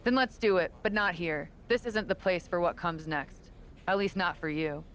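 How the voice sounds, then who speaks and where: A woman playing a proud veteran wrestling champion and earnest mentor in a video game cutscene, speaking in a measured, assured way. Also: on the low side, slight background noise, natural voice. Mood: earnest, conciliatory.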